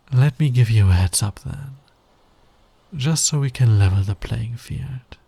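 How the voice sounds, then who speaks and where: A man playing a smug, flirty fantasy villain in an intimate ASMR roleplay, breathy and teasing, often sinking toward a whisper. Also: mid-range voice, mellow, no echo, heavily acted. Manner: teasing, slow, deliberate, dominant. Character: flirty, smug male fantasy villain, patient, smitten with hero. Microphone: close microphone, dry sound.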